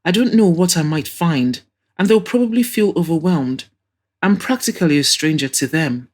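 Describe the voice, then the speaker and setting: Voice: medium pitch, lively intonation, moderate pace, darkish tone, lightly acted. A woman voicing an anxious, hopeful young woman, earnest and confiding, in an audio drama.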